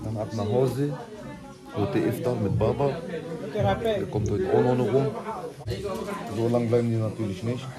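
A man's voice: mid-range voice, expressive, measured pace, pauses often, over a noisy crowd. Joking man vlogging with music behind. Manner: chatty, joking, addresses viewers directly. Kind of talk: casual vlog narration to camera. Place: hair salon and city street. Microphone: handheld camera at arm's length.

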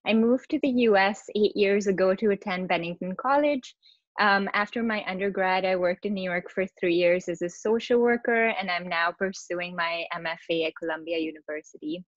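A woman's voice: medium pitch, moderate pace, no room sound. Young woman from Pakistan explaining her art. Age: young adult. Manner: relaxed, explanatory, many ums and restarts. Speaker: exhibiting artist. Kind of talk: online artist talk with slides. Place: room at home. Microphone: laptop video call. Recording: dull video-call audio.

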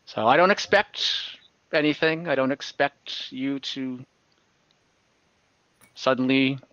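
A man's voice: mid-range voice, bright, forceful tone, dry recording. A man playing a rueful older elf, a retired adventurer, who speaks in a hesitant, earnest way in a tabletop game. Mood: earnest, confessing. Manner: hesitant, halting, earnest storytelling. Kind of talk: in-character tabletop roleplay. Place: indoors on a group video call. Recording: dull, lo-fi online call audio.

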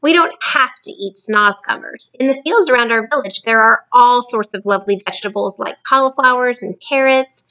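An earnest little storybook girl, read by a woman, frank and direct. The voice is bright and fairly high, on slightly dull-sounding audio. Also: moderate pace, few pauses. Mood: persuasive. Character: small, earnest, reassuring young girl.

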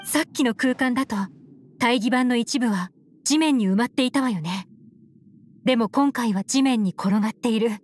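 A woman voicing a composed, shrewd adult investigator in an anime game, explaining in a cool, measured, analytical way. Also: fairly high pitch, slight background noise, natural voice. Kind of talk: Japanese anime game voice acting. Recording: music under the voice.

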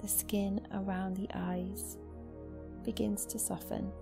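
Softly creaky, even-toned woman guiding meditation. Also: medium pitch. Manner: slow, soothing, gentle instruction with long pauses. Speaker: yoga nidra teacher. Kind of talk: guided meditation. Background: new-age music.

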